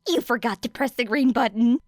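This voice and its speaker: A woman's voice: a little high, brisk, no room sound, clean studio sound, voice pushed up, close to an everyday voice. A woman voicing an eccentric, gleeful teenage girl inventor in an audiobook, breezy and teasing. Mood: breezy, eager.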